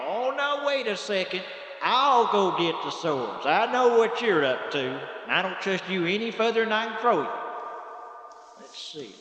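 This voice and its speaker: A scrappy, stubborn American country everyman for a radio horror comedy. He talks with folksy bluster in a high, bright, animated voice. The sound is echoey, with long hall reverb. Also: a little slow, full character voice. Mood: game, wary.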